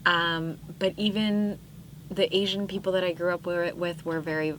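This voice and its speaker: A woman's voice: fairly low pitch, little pitch movement, moderate pace, a bit bright, a little hiss or hum, low-quality recording. A young woman born in Pakistan, a guest on a podcast, talking casually and reflectively with many fillers and frequent laughs. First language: Urdu. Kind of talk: casual interview chat.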